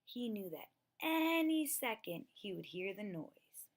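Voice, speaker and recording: A woman reading a children's picture book aloud in an expressive, deliberate storytelling manner. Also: mid-range voice. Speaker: storybook reader. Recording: noticeable background noise, clicks.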